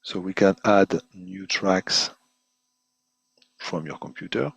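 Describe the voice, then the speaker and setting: A man presenting an unscripted webinar software demonstration in halting, hesitant phrases, often repeating words as he goes. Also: deep voice, steady tone, vocal fry, slightly rough, no room sound. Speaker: product presenter giving a software demo.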